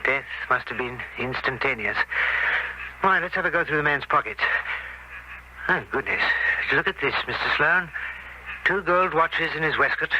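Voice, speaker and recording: An actor playing a methodical, self-assured police inspector in a radio drama, with a deliberate, clipped official manner. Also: male voice, on the high side, natural voice. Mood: intent, examining. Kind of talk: 1970s radio drama acting. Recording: noisy old radio broadcast recording.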